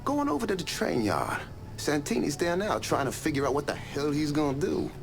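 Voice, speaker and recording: Game informant: chatty male crook, animated, joking, slightly roomy echo. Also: on the high side, a little fast, music in the background. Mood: cocky, amused. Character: cheeky, chatty small-time crook informant. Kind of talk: video game cutscene acting. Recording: roomy echo, faint background noise.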